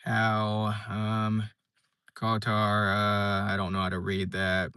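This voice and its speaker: A man's voice: lowish voice, completely flat delivery, slow pace, sharp tone, clear, resonant tone, no room sound, clean studio sound. A young man live streaming, chatting casually and reading viewer comments, rambling with lots of fillers and restarts. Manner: laid-back, rambling, many fillers and restarts.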